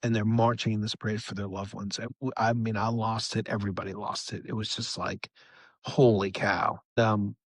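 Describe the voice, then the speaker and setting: A man's voice: lowish voice, flat delivery, normal speed, breathy edge, no echo, studio quality. A middle-aged man chatting casually as a musician guest in an interview, enthusiastic and rambling, often restarting his thoughts mid-story. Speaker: rock musician, podcast guest.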